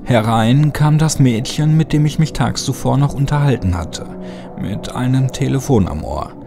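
A man's voice: mid-range voice, expressive, normal speed, creaky, over music. A man reading a scripted horror story as an audiobook narrator.

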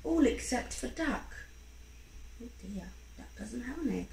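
A woman reads a children's story aloud at home with a high, lively voice that swings up and down. She is encouraging and expressive, stopping to put questions to a young listener. The room adds noticeable echo, with faint noise behind her. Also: unhurried, gravelly. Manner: expressive, encouraging, acting out sound effects. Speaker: parent reading a picture book aloud to a young child. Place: small room at home, indoors. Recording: roomy home recording with faint background noise.

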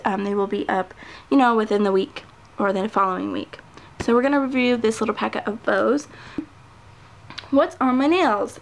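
A woman's voice: on the high side, vocal fry. A woman chatting casually through a nail polish review, full of ums and little laughs. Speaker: nail art vlogger. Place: home table, hands on camera.

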